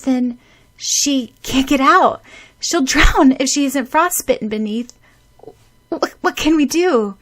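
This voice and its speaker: A woman's voice: high voice, takes it slowly, a bit bright, voice pushed up. A woman voicing a worried young male companion who stammers anxiously.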